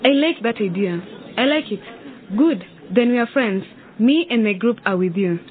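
Radio drama: woman as hesitant schoolgirl singer, higher pitch, candid, narrowband sound. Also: expressive, moderate pace, natural voice. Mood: agreeable. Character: hesitant schoolgirl singer, rival who turns friend.

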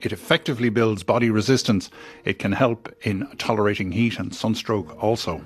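A man's voice: lowish voice, moderate pace, slightly rough. Male radio co-presenter reading listener mail.

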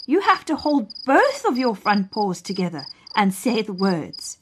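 A woman's voice: medium pitch, very animated, measured pace, a bit bright, slight background noise. A woman voicing a clever old woman in a storybook, questioning and instructing firmly. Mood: commanding.

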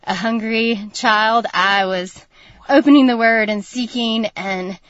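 A woman's voice: fairly high pitch, takes it slowly, a bit bright, no room sound, muffled recording. Earnest woman, dry studio. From North Carolina, USA. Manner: earnest, reflective, laughs often. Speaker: guest. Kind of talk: personal testimony in a radio interview. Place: radio studio.

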